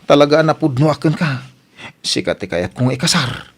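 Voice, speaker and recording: A man's voice: a little high, normal speed, breathy edge. A man with an Ilocano Filipino accent playing an eager, lovestruck young suitor in a radio comedy drama. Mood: earnest wooing. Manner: excitable, exclaiming, pleading.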